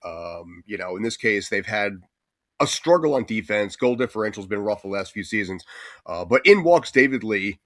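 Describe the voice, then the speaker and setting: A man's voice: fairly low pitch, animated, moderate pace, a bit bright, dry recording, clean studio sound. A man hosting a casual sports podcast interview, talking in an informal, chatty way. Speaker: podcast host.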